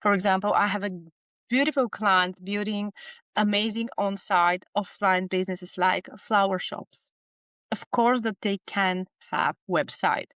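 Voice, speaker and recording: A woman with a Bulgarian accent talks earnestly in a casual podcast chat, stacking up short listed phrases. Her voice sits at an ordinary middle pitch. The sound is dry and close, with no room around it. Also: steady tone, unhurried, a bit bright, dry recording. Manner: speaks in short listed fragments, earnest and enthusiastic. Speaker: guest business psychologist.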